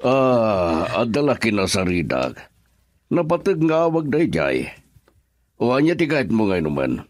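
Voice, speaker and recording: An actor with an Ilocano accent playing an unhurried, jovial male boss in a radio drama. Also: lowish voice, huge pitch swings, no echo.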